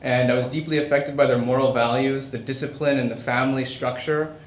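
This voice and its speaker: A man's voice: mid-range voice, steady tone, fairly quick. A man on a panel earnestly sharing his personal background. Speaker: panelist, army veteran. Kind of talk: personal testimony. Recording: echoey room, light noise.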